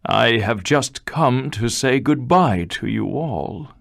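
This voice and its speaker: A man voicing a sad, frightened father in a storybook reading, gentle and sorrowful. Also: mid-range voice, animated, slow pace, vocal fry, no room sound, studio quality, voice pushed up. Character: sad, fearful old father.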